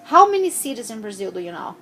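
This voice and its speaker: Gentle higher-voiced woman interviewer. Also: very animated, fast, slight background noise. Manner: gentle, patient questioning with frequent hesitations. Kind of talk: casual interview with a child.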